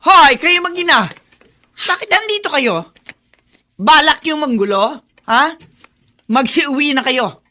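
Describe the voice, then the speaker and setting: An actress playing a hostile, domineering aunt, confrontational and taunting in radio drama style. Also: female voice, high voice, lively intonation, takes it slowly, pauses often, pushed and bright, exaggerated voice. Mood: hostile, commanding. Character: hostile, domineering aunt guarding her house.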